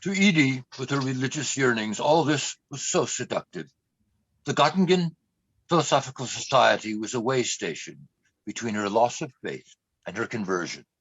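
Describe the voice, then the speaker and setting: A man's voice: mid-range voice, lively intonation, moderate pace, a bit bright, breathy edge, dry recording, muffled recording. A man acting as the narrator of a radio play, telling a life story in a wry way. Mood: wry storytelling. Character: wry male narrator looking back on a woman's life.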